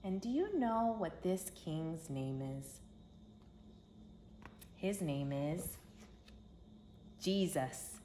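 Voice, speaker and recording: A woman reading a children's story aloud in an expressive, emphatic storytelling manner. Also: low voice, extremely slow, long pauses. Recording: roomy echo with background hiss.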